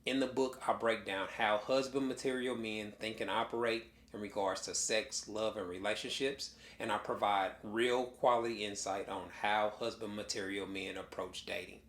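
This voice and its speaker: A man who is a relationship coach reading a scripted promo for his own audiobook in a calm, measured way. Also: fairly low pitch, echoey room.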